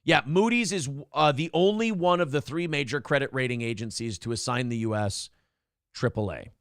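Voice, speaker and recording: A man's voice: mid-range voice, moderate pace, a bit bright, dry recording, clean studio sound. A man hosting a casual morning talk show, brash and profane, reading viewer donations aloud and riffing on politics.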